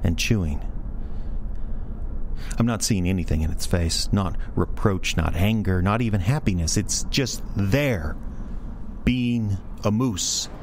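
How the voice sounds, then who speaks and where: An actor playing a dazed office man in a radio play monologue, speaking in halting, deliberate short phrases. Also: low-pitched, a little hiss or hum. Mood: dazed, fixated. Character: dazed office man haunted by a moose, slow and hushed.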